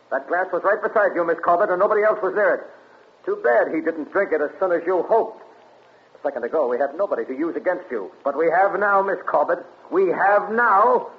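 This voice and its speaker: A man's voice: fairly high pitch, natural voice. A man playing a stern accusing detective. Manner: accusing, deliberate. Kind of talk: 1940s radio acting. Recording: tinny 1940s radio broadcast.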